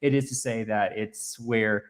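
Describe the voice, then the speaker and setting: A man's voice: on the low side, fairly even intonation, unhurried. A man hosting a tech show in a casual presenting style, explaining things in wordy run-on sentences. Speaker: show host.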